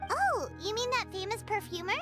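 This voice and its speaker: Casting: tiny excitable fairy sidekick, extremely high childlike voice, wildly swooping delivery, game dialogue over music. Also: female voice, normal speed. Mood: curious. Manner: bubbly, exclaiming, third-person self-reference. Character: tiny childlike floating fairy companion, excitable, refers to herself by name. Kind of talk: video game voice acting. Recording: music behind the voice.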